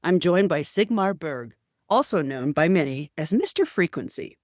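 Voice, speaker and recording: A woman hosting a podcast, reading a scripted introduction and then interviewing her guest with a soft, deliberate delivery. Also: on the low side, expressive, no room sound, clean studio sound. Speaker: podcast host.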